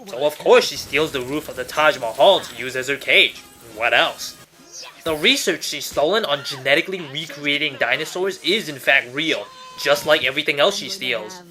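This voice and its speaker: A man's voice: a little high, pushed and bright. A man hosting and narrating a scripted top ten countdown. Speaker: host of a top ten list show. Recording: music behind the voice. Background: music.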